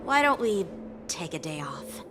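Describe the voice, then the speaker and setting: A woman's voice: on the low side, sing-song, unhurried, a bit bright, soft background music. A woman voicing a confident, polite teenage boy knight in a video game.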